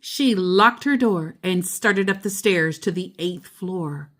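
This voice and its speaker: A woman storyteller reading a children's picture book aloud, deliberately and with expressive emphasis on key words. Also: lowish voice.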